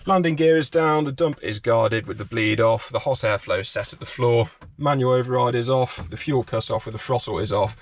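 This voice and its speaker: A man's voice: mid-range voice, brisk, hardly any pauses. A man presenting as a pilot, methodically talking through hands-on cockpit checks item by item. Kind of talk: hands-on cockpit procedure walkthrough. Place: small turboprop aircraft cockpit. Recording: muffled audio with clear aircraft noise.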